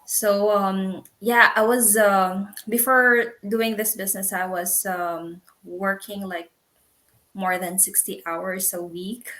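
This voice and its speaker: Slow-talking woman, halting and laughing. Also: medium pitch, fairly even intonation, fairly bright tone. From Philippines. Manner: halting, repeats words, laughs often. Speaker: guest, business owner. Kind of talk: casual interview chat.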